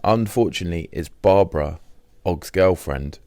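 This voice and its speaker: A man's voice: sits low, moderate pace, warm tone, no echo. A man reading a first-person diary as scripted audiobook narration.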